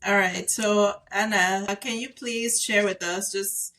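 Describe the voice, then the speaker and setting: Gentle woman podcast host. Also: mid-range voice, steady tone, measured pace. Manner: gentle and inviting when asking questions. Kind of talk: casual chat.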